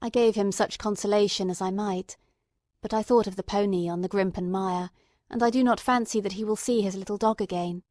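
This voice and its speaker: A woman narrates a classic novel in a mid-pitched voice, recorded close and dry. Her delivery is measured and expressive, shaped as scripted storytelling. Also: dry recording. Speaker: audiobook narrator.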